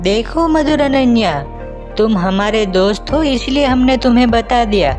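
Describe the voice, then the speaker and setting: Woman as a worried, high-voiced village child. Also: animated, measured pace, music in the background. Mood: warning, insistent. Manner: cautioning, insistent. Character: village child, worried and warning their friends. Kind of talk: animated story acting.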